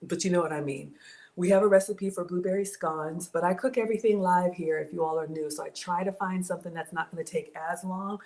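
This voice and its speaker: A woman's voice: fairly low pitch, fairly quick. A woman born in Ghana and raised in the American South, hosting a casual live cooking stream from her home kitchen, chatting with viewers and reading their comments aloud. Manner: chatty and warm with viewers, thanks supporters, says "y'all". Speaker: cooking livestream host.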